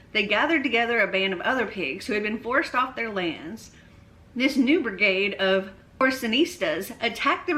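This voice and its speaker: A woman reading a bedtime story aloud as storyteller, expressively, with deliberate, emphatic phrasing. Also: fairly high pitch, pushed and bright, low-quality recording.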